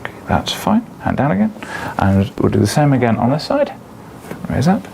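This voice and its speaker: Male clinician, courteous and procedural, animated intonation with vocal fry, guiding an examination. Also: mid-range voice, moderate pace, a little hiss or hum. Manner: polite, procedural. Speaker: doctor examining a patient. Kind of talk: step-by-step clinical exam instructions.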